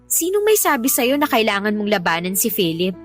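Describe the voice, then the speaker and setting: A woman's voice: medium pitch, sing-song, a little fast, faint music behind, close to an everyday voice. An actress playing an affectionate, exasperated young Filipino woman in a radio drama, teasing and emphatic as she talks to her partner. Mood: defensive, firm.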